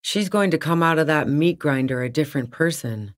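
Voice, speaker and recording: A woman's voice: low voice, a little fast, lightly acted. An actress playing a tough, wary, sardonic woman on the run in a video game, speaking bluntly. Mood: somber, regretful. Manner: blunt, sardonic, foul-mouthed. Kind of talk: video game character dialogue.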